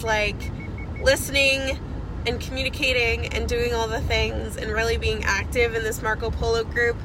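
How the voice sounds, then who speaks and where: Young woman, rambling casual phone message, getting over a cold, car air conditioning humming loudly behind. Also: a little high, background noise. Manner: chatty, rambling, lots of fillers. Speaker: network marketing seller.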